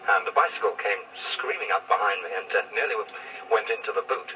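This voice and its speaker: A man's voice: fairly low pitch, quick pace, sharp tone. A man telling a personal anecdote as a radio presenter, in a distant old broadcast recording. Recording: distant, hissy archive 1960s radio recording.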